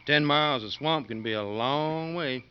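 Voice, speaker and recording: An actor playing a bitter, brooding convict in a 1950s radio drama. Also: male voice, on the high side, normal speed, lightly acted. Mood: guarded. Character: bitter, wronged convict serving time for killing. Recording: muffled, hissy 1950s radio recording.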